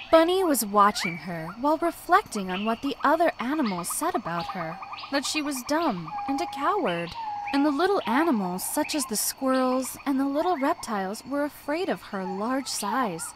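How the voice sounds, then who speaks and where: A woman's voice: on the high side, noisy background. A woman reading a scripted children's bedtime story as its narrator.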